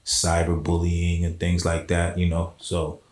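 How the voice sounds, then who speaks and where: A man's voice: sits low, monotone, a little slow, darkish tone, reverberant. A man, a poet and spoken word artist, talking casually as an interview guest with a laid-back, rambling manner full of fillers. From South Florida.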